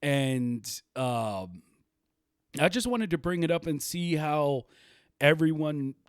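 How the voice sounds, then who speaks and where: A man's voice: on the high side, lively intonation, slow pace, clean tone, no room sound, clean studio sound. A man hosting a livestreamed podcast, talking casually and rambling, laughing easily. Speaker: podcast host.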